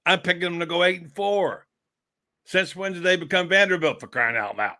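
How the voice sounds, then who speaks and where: A man's voice: sits high, fairly quick, bright, forceful tone. A man on a sports radio panel, ranting in a fired-up, exasperated way. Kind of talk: heated sports talk.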